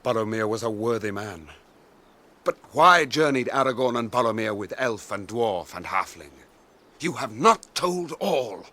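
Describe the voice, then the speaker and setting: An actor playing a proud, wary cavalry commander, blunt and honourable, speaking formally and with authority in a radio fantasy drama. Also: male voice, lowish voice, animated, a little slow, sharp tone. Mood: grave, confiding.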